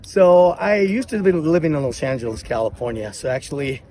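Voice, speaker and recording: A middle-aged man from Japan speaking English with a Japanese accent. He is a taiko musician giving an interview outdoors, with lively, loosely phrased non-native English. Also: on the high side, a little fast. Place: outdoor memorial gathering. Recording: crowd noise around outdoor mic.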